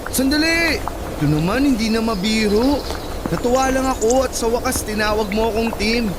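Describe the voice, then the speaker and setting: A man's voice: very high voice, normal speed, very noisy. A man playing a playful, persistent young suitor in a radio romance, teasing and coaxing with melodramatic flair. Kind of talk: radio romance drama acting. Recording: loud music under the dialogue. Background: loud music.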